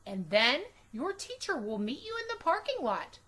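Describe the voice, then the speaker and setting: A woman voicing a patient, gently firm mother in a children's storybook, with a measured, reassuring way of talking. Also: high-pitched, sing-song, pitched above the performer's own voice, full character voice.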